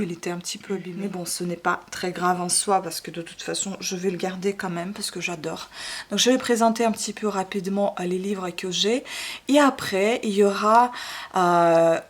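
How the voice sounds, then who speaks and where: A woman's voice: medium pitch, steady tone, brisk. A woman whose first language is not French, hosting her channel and casually presenting her storybook collection, with frequent fillers and self-corrections. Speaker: channel host.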